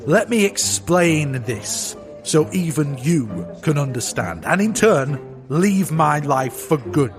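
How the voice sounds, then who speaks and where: An actor playing an arrogant, gruff Gilded Age tycoon villain in a comedic period audio drama, sneering and bombastic in slangy talk. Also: male voice, a little high, big pitch swings, slow, over music. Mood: impatient, scheming.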